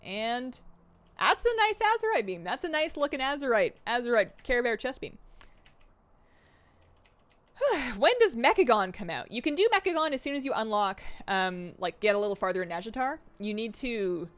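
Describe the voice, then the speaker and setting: A young woman streaming a game live, chatting excitedly and reading her chat aloud. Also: fairly high pitch, normal speed.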